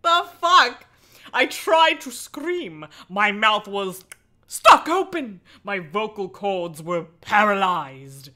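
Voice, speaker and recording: A woman's voice: medium pitch, big pitch swings, slow pace, bright, forceful tone. A woman hosting a casual livestream, chatting playfully and irreverently, with asides and swearing as she reads aloud. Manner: chatty, often breaks off into asides. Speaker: livestream host reading a book aloud. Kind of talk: casual livestream chat mixed with mocking dramatic read-aloud.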